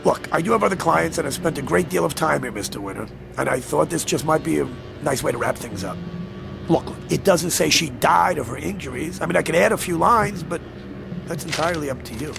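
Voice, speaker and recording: A sly, theatrical devil speaks in a high, gravelly voice over music. He is mock-genteel and menacing, teasing his prey with big melodic swings, in radio-drama style. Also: lively intonation, brisk. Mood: mock-regretful menace.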